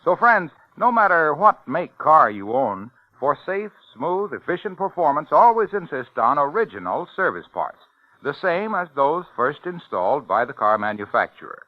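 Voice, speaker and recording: Animated man pitching on old radio. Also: moderate pace, no room sound. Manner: emphatic, polished salesmanship. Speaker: commercial announcer. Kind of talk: 1950s radio sponsor pitch. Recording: dull old radio recording.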